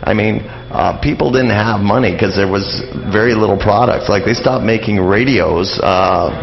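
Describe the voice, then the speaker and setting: A middle-aged man, explaining things as a guest in a casual radio interview, halting and rambling as he goes. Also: lowish voice, creaky, highs cut off. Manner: halting, rambling explanations peppered with "you know" and "like".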